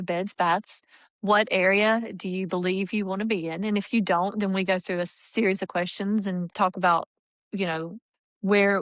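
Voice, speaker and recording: A woman's voice: mid-range voice, fairly bright tone, no echo. Earnest woman, dry close sound. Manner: earnest, explanatory, with many restarts. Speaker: real estate agent, guest. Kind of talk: conversational interview answers.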